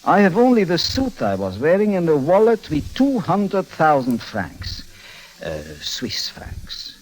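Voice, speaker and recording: A rich, secretive middle-aged tycoon from an old radio drama. His voice sits a little high and moves in wide swings. He speaks, formal and commanding, choosing each phrase deliberately. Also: wildly swooping pitch, heavily acted, poor audio. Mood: brooding, confiding. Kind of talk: 1950s radio drama acting.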